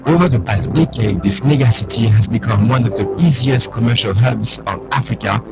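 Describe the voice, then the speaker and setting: A man giving scripted documentary narration in an even, polished delivery. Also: mid-range voice, moderate pace, gravelly, loud music behind the voice, rough recording.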